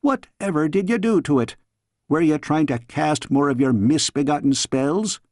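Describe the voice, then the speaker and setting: A man's voice: on the high side, normal speed, a little mellow, dry recording, clean studio sound, lightly acted. A man voicing a stout, motherly castle maid who scolds in exasperation with a Scottish accent, in a storybook reading. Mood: scolding. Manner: thundering, exasperated, fussing.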